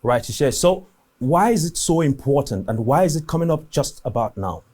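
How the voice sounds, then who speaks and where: A man's voice: a little high, very animated, a little mellow. A man hosting a community show, reading a formal scripted intro and asking interview questions. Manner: measured, formal delivery. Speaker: show host.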